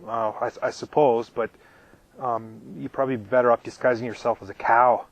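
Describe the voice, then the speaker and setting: Man losing his voice, clearing his throat. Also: mid-range voice, flat delivery, no echo, highs cut off. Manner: halting, with many ums and repeated words. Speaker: channel host answering viewer questions. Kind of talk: live casual Q&A. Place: camping in the desert in his RV.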